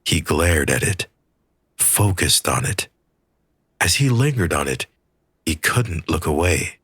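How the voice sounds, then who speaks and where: A man reading a scripted story aloud as an audiobook narrator, with a husky voice. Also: deep voice, a bit bright, dry recording, studio quality.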